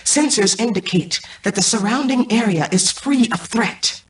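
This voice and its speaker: A woman playing a cold, imperious mainframe AI in a video game, menacing and calculating, with formal, commanding, measured robotic delivery. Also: on the high side, expressive, natural voice. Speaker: game antagonist turned ally. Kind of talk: scripted video game voice acting. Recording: distant, echoey processed game audio.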